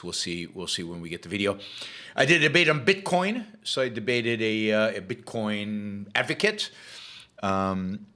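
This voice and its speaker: A man hosting his talk show, hoarse from losing his voice, rambling with many fillers and restarts. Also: fairly low pitch, bright, forceful tone. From Israel. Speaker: show host. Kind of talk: casual livestream talk.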